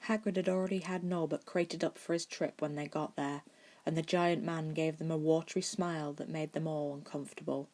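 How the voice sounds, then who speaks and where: A woman narrating fan fiction as an amateur audiobook reader, expressive with the occasional stumble. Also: low-pitched, fairly quick.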